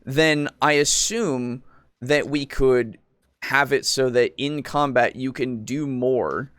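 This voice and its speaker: A man with an average-pitched voice talks casually as a podcast co-host. He explains at length in a rambling, loosely profane way. The sound is dry, with very little room in it. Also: takes it slowly, a bit bright, no echo. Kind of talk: casual discussion of tabletop roleplaying.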